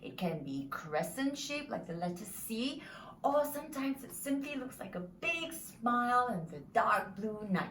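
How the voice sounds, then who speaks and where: A woman's voice: fairly high pitch, very animated, unhurried, a bit bright. A woman presenting interactive storytelling for children in an encouraging, instructive way. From Singapore. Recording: echoey room, distant mic, faint noise.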